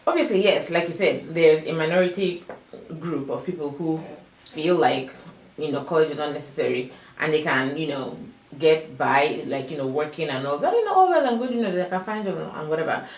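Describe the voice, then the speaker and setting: A woman co-hosting a casual panel chat, expressive and chatty with many fillers. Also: deep voice, fairly quick. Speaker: co-host. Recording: echoey room, faint hiss.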